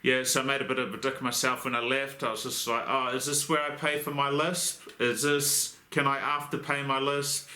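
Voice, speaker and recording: Australian bloke with a lisp, echoey. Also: male voice, mid-range voice, little pitch movement, moderate pace, few pauses, bright, forceful tone. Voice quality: lisp from new braces. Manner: joking, blokey, swears casually, rambling asides. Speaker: crane rigger and channel host. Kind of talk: casual how-to vlog.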